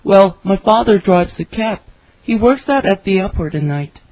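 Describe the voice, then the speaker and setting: A woman reading her part in a scripted English conversation for learners, with clear, careful delivery. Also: medium pitch, animated, moderate pace, dark tone, dry recording. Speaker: dialogue reader for English learners. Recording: dry, slightly dull studio recording.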